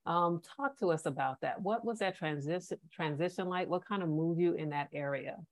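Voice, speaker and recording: A woman's voice: lowish voice, normal speed. A woman hosting a conversational podcast interview, speaking in an affirming, supportive manner.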